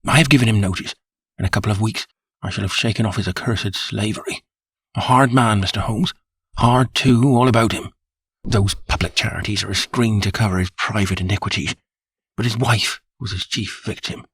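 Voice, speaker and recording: A man voicing a nervous, breathless male estate manager who speaks in hurried short sentences. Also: fairly low pitch, fairly even intonation, fairly bright tone, slightly rough, dry recording, full character voice. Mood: bitter.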